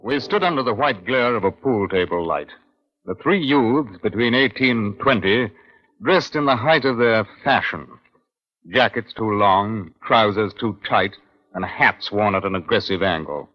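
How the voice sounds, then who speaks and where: A man's voice: on the low side, expressive. A man playing a firm, probing British Scotland Yard detective in an old radio crime drama. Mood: measured narration. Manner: measured, questioning. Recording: muffled old radio recording.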